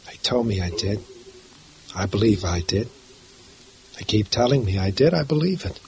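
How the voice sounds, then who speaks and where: An actor playing a confused, broken young prisoner awaiting execution in a 1950s radio drama, speaking vacantly. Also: male voice, on the low side, lively intonation, moderate pace, darkish tone, a little hiss or hum. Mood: dazed. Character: dazed young condemned man.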